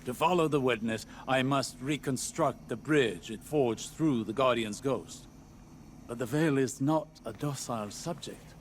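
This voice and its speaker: A man's voice: medium pitch, unhurried, slight background noise, close to an everyday voice. A man playing a cautious male scholar-advisor. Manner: careful, precise and reserved.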